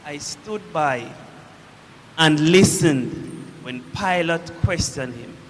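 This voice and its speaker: An actor playing a proud Roman centurion shaken by guilt and fear, in a declamatory theatrical monologue with deliberate, dramatic pauses. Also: male voice, on the high side, expressive, slight background noise. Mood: bitter, troubled. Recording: echoey hall with long reverb.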